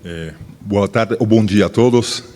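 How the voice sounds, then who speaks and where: A man's voice: on the low side, measured pace, warm tone, a little hiss or hum. A middle-aged man with a Cuban Spanish accent gives a formal academic presentation at a ceremony, hesitating with many fillers and tag questions. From Cuba. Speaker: research director giving a presentation. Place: large auditorium. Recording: long reverb of a large hall.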